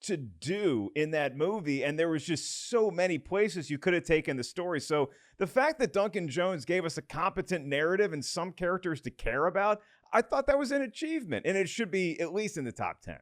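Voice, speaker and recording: A man with a somewhat high voice co-hosts a podcast. He argues casually about films, and the sound is very dry, with no room echo. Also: sing-song, fairly quick, few pauses. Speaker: podcast co-host. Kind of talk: casual film debate.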